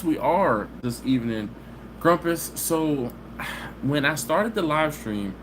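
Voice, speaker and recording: A man talks easygoing over a phone live stream, humming long "mm-mm"s between thoughts. His voice sits at a middle pitch for a man. A steady hiss runs under the sound. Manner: easygoing and chatty, with drawn-out "mm-mm" hums. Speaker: barbecue channel host. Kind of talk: casual live stream chat, reading viewer comments. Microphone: phone camera.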